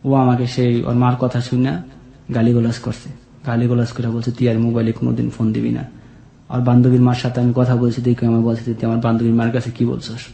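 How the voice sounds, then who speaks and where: A man's voice: mid-range voice, monotone, a little fast, creaky, warm tone. A man with a colloquial Bangladeshi accent telling his own life story as a guest, earnest and plaintive. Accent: Bangladeshi, colloquial regional. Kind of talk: personal confessional storytelling. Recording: roomy echo on the voice.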